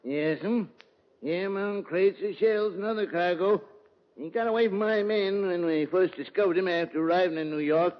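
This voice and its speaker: A man's voice: high voice, steady tone, normal speed, natural voice. Man acting an obliging ship's captain, echoing voice. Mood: matter-of-fact. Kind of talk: 1950s radio drama acting. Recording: muffled old radio recording, long reverb.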